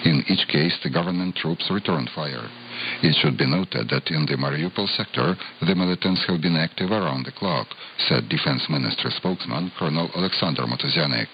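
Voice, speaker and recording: A man presenting a scripted international news broadcast in a steady, measured way, heard through hissy shortwave radio reception. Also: very deep voice, creaky, lo-fi sound.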